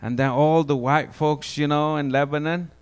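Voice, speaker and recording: A man from Guatemala with a Guatemalan Spanish accent, giving an informal testimony sermon, halting and repeating words as he goes. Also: fairly high pitch, fairly even intonation, clear, resonant tone. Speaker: preacher.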